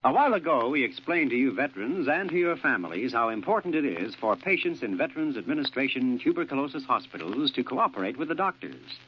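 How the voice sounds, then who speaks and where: A man's voice: medium pitch, very animated, fast, few pauses, husky. A man announcer giving an earnest, persuasive public service message in 1940s radio style. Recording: muffled, faintly noisy old radio recording.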